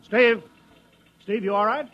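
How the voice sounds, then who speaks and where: A man's voice: sits high, slow. An American man acting in a 1950s radio drama as an aging, anxious flight test chief, emphatic and repeating himself, on a dull old recording. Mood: businesslike.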